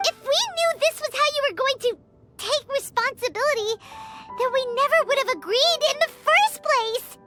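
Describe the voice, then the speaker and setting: A squeaky fretful little sprite companion. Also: female voice, expressive, over loud music. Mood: pleading. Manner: fretful, pleading, quick to protest. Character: small excitable floating female companion sprite. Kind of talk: video game voice acting. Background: loud game score.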